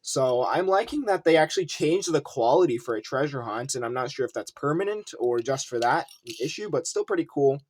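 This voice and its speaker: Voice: medium pitch, lively intonation, a little fast, hardly any pauses, a little mellow. A video host giving casual unboxing commentary, rambling and thinking aloud while showing collectibles. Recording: noisy room with clicking handling sounds.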